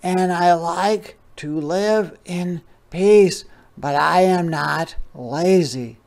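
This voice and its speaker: A placid storybook sloth played by a man, pitched well above a normal talking voice. Each word is stretched out very slowly, in the manner of an easygoing creature who likes peace. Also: creaky, a little mellow, heavily acted. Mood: calm, unhurried. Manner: slow, drawn-out delivery. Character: slow, mellow, peace-loving sloth. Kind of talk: storybook read-aloud.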